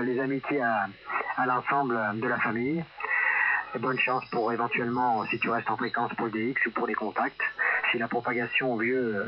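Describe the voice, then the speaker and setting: A man with a mid-pitched voice chats casually over amateur radio. The transmission is muffled and full of loud noise. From France. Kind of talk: casual radio contact chat.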